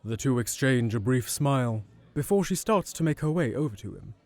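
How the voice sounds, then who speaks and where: A man's voice: medium pitch, lively intonation, brisk, darkish tone. A man reading scripted third-person narration for an audio drama.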